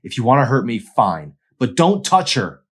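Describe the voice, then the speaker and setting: A man voicing a protective, desperate teenage boy from a novel, strained and forceful. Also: medium pitch, very animated, moderate pace, husky, voice pushed up. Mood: defiant pleading.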